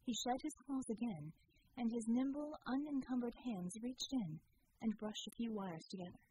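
A woman reading fiction as an audiobook narrator, with measured, deliberate, dramatic phrasing. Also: fairly high pitch, mellow, a little hiss or hum, low-quality recording.

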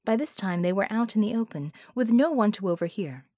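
A female audiobook narrator reads a script at a medium pitch. The recording is close and very dry. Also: lively intonation, a little fast, a little mellow, no echo.

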